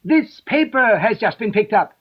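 A man's voice: high-pitched, big pitch swings, normal speed, husky, highs cut off, pitched above the performer's own voice, full character voice. A man voicing a nervous, fussy male rabbit courtier who speaks in a polite, deferential way. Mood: hurried, deferential. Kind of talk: audiobook character voice.

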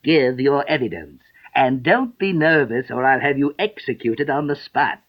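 A man's voice: mid-range voice, moderate pace, close to an everyday voice. A man voicing an irritable, pompous storybook king who is imperious and threatening. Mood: angry threatening. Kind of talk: storybook character voice. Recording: dull lo-fi recording.

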